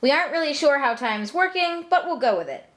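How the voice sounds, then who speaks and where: Young female narrator, fairly high and expressive, casual flippant comic storytelling. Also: fairly quick.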